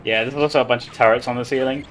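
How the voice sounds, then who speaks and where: A man's voice: mid-range voice, fairly even intonation, very fast, fairly bright tone, faint music behind. A man casually commentating on his own live speedrun as he plays.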